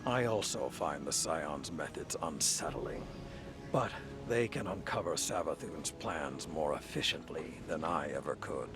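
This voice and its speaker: A grizzled older warlord speaks in an extremely low, gravel-filled growl. He is stern and world-weary, measuring out each phrase slowly like a game-cutscene commander, with music behind him. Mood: gruff, stern. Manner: gruff, slow, weighty delivery. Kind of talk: video game cutscene acting.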